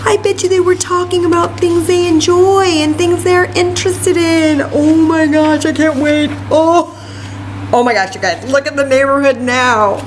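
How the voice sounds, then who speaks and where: A woman hosting a children's library story time, reading a picture book aloud with animated, exclamatory asides straight to her young listeners. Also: high voice, normal speed, slight background noise, rough recording.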